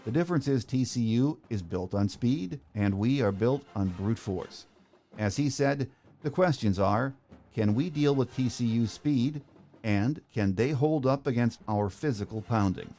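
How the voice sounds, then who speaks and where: A man's voice: mid-range voice, expressive, measured pace, warm tone, soft background music. An American man hosting a sports podcast, moving between scripted introduction and interview talk. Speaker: podcast host.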